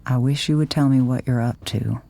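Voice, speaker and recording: An actress voicing an anxious, gentle middle-aged mother in a game cutscene, softly pleading and patient. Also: rumbling low, steady tone, a little fast, gravelly. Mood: worried, coaxing. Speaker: video game voice actor. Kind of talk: dramatic game cutscene acting.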